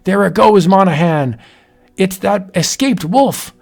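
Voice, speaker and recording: A man's voice: high-pitched, lively intonation, slow, gravelly, darkish tone, breathy edge, pitched above the performer's own voice, full character voice. A man voicing an aggressive policeman in a read-aloud story. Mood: eager.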